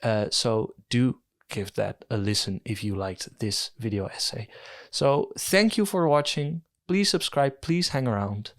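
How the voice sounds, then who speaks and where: A young man with a Dutch accent works through ideas in a casual video essay, at an ordinary middle pitch. He muses aloud, trips over a word now and then, and makes wry asides about himself. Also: unhurried. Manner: thoughtful, musing, self-deprecating with fillers.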